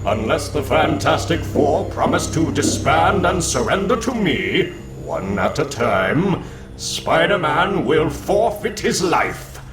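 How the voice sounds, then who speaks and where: An arrogant comic book supervillain, coldly scheming and menacing. He speaks slowly and grandly with sweeping inflection, in an echoing space with loud music underneath. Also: fairly high pitch, sing-song. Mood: menacing, imperious. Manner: grandiose, deliberate, theatrical. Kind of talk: dramatic comic book reading.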